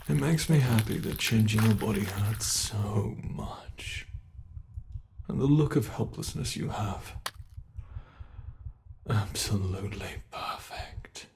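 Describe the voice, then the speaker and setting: A man voicing a sadistic male monster in an ASMR horror roleplay, talking in a slow, taunting way that relishes cruelty. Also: lowish voice, creaky, slight background noise, exaggerated voice. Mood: musing, cruel.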